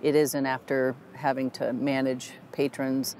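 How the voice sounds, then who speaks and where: A woman's voice: a bass voice, flat delivery, unhurried, a little mellow, slight background noise. A middle-aged woman, a theater director, talking earnestly in a documentary interview, with a few restarts. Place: theater lobby, indoors.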